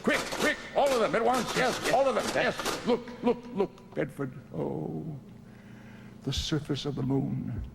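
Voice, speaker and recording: A flustered, high-voiced eccentric scientist. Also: male voice, a little slow, vocal fry, noisy background. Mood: urgent, excited. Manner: flustered, stammering, repeats words. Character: eccentric, excitable male inventor-scientist, absent-minded and boldly optimistic. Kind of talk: old-time radio drama acting. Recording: echoey radio drama production.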